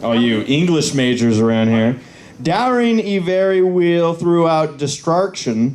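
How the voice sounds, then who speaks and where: A man's voice: on the high side, warm tone. A man reading a story aloud as a reader on a live convention panel.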